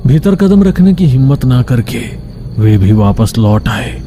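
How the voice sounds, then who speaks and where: A man's voice: mid-range voice, big pitch swings, a little slow, dark tone, faint music behind. A man narrating a scripted horror story.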